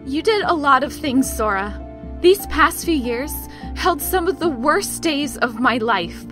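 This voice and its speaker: A woman's voice: high voice, animated, measured pace, music in the background. A woman playing a guarded, hurt, reserved young woman in an audio drama, with a hesitant manner. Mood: guarded, concerned.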